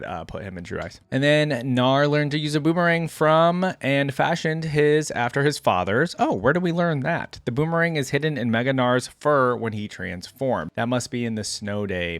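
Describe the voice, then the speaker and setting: A male reaction video host with an average-pitched voice and a dry, close sound. He reads aloud and comments casually, musing informally as he goes. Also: dry recording.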